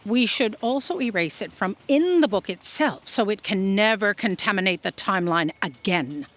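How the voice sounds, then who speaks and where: Woman acting exasperated female office chief, middle pitch, lively intonation, decisive, officious, comic audio drama. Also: moderate pace, lightly acted. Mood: exasperated resolve. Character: authoritative, exasperated female boss of a magical office.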